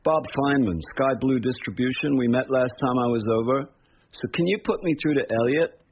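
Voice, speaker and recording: A man's voice: mid-range voice, brisk, muffled recording, a little hiss or hum, voice pushed up, close to an everyday voice. A man voicing a slick, breezy, pushy film distributor with a salesman's patter in a radio drama. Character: slick, pushy male film distributor, fast-talking hustler.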